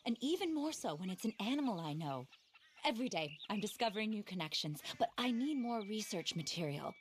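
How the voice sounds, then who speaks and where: An actress playing an eccentric, passionate naturalist in a video game, with a well-spoken, theatrical, earnest delivery. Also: female voice, medium pitch, big pitch swings, fairly quick, slight background noise, close to an everyday voice. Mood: rapturous. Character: eccentric, passionate female frontier naturalist, indignant animal lover. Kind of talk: video game cutscene acting.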